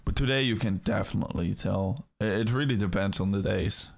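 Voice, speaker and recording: Dutch man, low gravelly voice. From Netherlands. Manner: rambling, halting, with frequent fillers and restarts. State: feeling a little ill. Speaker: crypto livestream host. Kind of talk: casual livestream chat.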